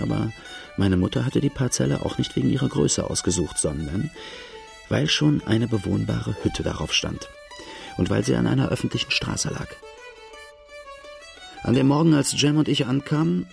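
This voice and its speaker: An actor playing a young Australian farm-boy outlaw, telling his hard youth in a plain, earthy, measured radio drama narration with a low gravelly voice. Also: darkish tone.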